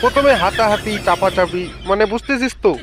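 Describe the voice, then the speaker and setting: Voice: medium pitch, brisk, lightly acted. A performer voicing a chatty, knowing middle-aged male shopkeeper in a comic cartoon, talking familiarly and handing out advice over music. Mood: knowing, cheeky. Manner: familiar, talkative, advice-giving.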